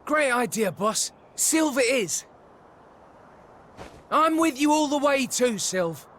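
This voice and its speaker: A male actor playing an excitable, eager henchman in a video game, exclaiming as he talks. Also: very high voice, slow pace, slight background noise, full character voice. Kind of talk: video game cutscene voice acting.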